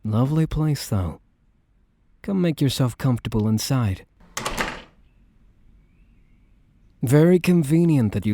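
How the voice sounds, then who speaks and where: Man acting as a mellow, low, teasing boyfriend. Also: no room sound. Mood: playful, attentive. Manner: soft-spoken, teasing, wry, casual. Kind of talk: boyfriend ASMR roleplay, intimate scripted acting.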